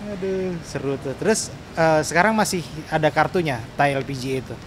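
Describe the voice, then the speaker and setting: A man's voice: a little high, lively intonation, a little fast, a crowd in the background. A middle-aged man with an Indonesian accent, hosting a casual interview and often echoing or affirming what the guest says. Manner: frequent affirming backchannels, repeating the guest's words. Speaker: podcast host, interviewer.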